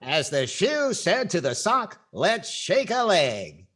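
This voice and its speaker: A man's voice: high-pitched, very animated, slow, heavily acted. An older man playing a wry, wisecracking comedy host in a 1940s radio style. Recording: clear background noise and clicks.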